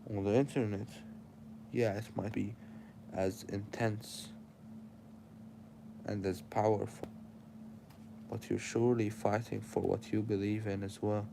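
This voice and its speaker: A man's voice: lowish voice, little pitch movement, measured pace, gravelly, long pauses, noisy background. A young man with an Arabic accent, sleepy and tired, preaching casual life advice straight to his livestream chat.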